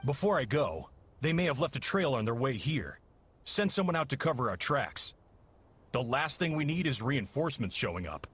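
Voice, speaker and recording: A man's voice: mid-range voice, sing-song, moderate pace, fairly bright tone, slightly rough. An actor playing a confident, calculating male villain commander in a game, with a commanding manner. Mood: ordering.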